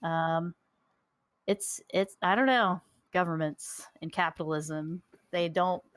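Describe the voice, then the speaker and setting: A woman's voice: on the low side, fairly even intonation, slow pace, no room sound. A woman co-hosting a live stream, chatting casually about gaming news with a frustrated, wry tone. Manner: frustrated, wry, laughs while talking. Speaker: podcast co-host. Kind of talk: casual chat.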